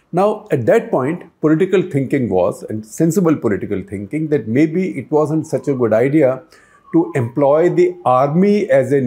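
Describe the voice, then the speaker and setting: A man's voice: mid-range voice, dark tone. An older man hosting a news explainer, talking to camera in a conversational way and posing questions to the viewer, recorded on a lapel mic in a book-lined office.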